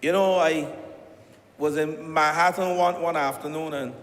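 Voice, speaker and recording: A man with a Barbadian accent giving a deliberate parliamentary speech, stressing each site he names. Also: a little high, steady tone, a bit bright, slight background noise. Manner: deliberate address with rising emphasis on listed sites. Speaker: member of parliament. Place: parliament chamber. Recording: echoey chamber with long reverb.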